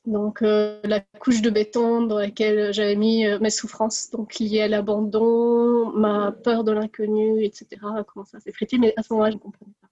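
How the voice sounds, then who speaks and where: A woman in her forties from India, telling her own story as a podcast guest, pausing often with fillers and restarts. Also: mid-range voice, completely flat delivery, dark tone, dry recording, lo-fi sound, soft background music. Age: 40s. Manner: hesitant, many fillers and restarts. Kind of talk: personal testimony.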